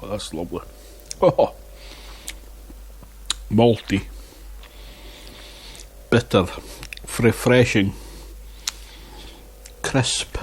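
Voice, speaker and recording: A man's voice: on the low side, drawn-out words, pauses often, a little hiss or hum. A man reviewing beer in a casual vlog, chatting and muttering asides to himself on a lapel mic. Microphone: wireless lapel mic.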